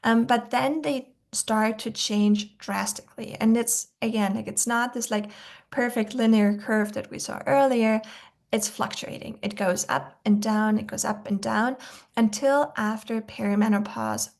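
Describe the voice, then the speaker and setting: A woman's voice: on the high side, steady tone, unhurried, vocal fry. A middle-aged woman with an Austrian German accent, presenting a nutrition webinar over a video call in a hesitant way, full of "um" and "like" fillers. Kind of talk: informal online presentation.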